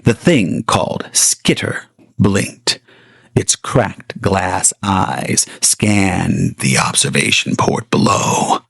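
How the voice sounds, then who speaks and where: A man's voice: low-pitched, lively intonation, extremely slow, vocal fry, a bit bright, slightly rough, dry recording, clean studio sound. A man narrating an audio drama as its host, in a theatrical manner and speaking straight to the listener. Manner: theatrical, addresses the listener directly.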